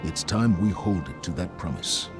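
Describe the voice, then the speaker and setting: A man's voice: sits low, lively intonation, normal speed, over loud music. An actor playing a stern, resolute male military commander who speaks in grave, clipped orders in video game cutscenes. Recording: game audio with loud score.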